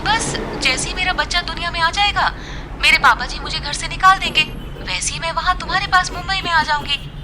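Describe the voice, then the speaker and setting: A woman's voice: very high-pitched, brisk, crowd noise, noisy background. An actress playing a naive young woman, playful and coaxing, in a Hindi audio drama. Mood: playful, matter-of-fact. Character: naive, pleading, teasing young pregnant woman called Meera.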